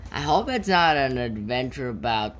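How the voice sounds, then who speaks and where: A woman reading the lines of a calm, wry young boy in a children's storybook. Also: rumbling low, normal speed, a little hiss or hum.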